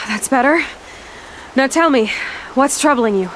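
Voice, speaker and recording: An actress playing a resolute young outcast huntress in a video game, guarded but compassionate, speaking directly and with measure. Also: female voice, high voice, expressive, slow, a bit bright, a little hiss or hum, full character voice, poor audio. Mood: calm, soothing. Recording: music under the voice.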